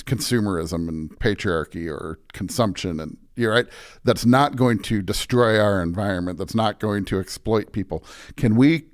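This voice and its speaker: A man talking as a pastor in an interview, earnest and emphatic, often building his points through repeated phrases. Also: fairly low pitch. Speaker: pastor, podcast guest. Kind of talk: conversational interview talk with preacherly stretches.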